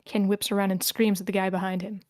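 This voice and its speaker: A woman's voice: mid-range voice, level tone, quick pace, a little mellow, no room sound. Woman narrating a screenplay, dry sound. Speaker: stage directions reader. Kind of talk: scripted narration at table read.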